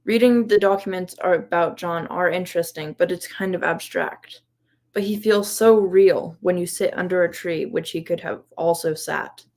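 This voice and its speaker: Fairly low-pitched woman presenting. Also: normal speed, a little mellow. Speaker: volunteer history investigator presenting research. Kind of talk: prepared slide presentation, reflective.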